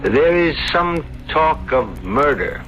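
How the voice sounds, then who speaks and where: An actor playing a formal, deferential yet insinuating police inspector in a 1970s radio drama. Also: male voice, fairly high pitch, big pitch swings, slow pace, a bit bright, full character voice. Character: polite, probing police inspector making routine inquiries. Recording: dull vintage radio broadcast, music behind.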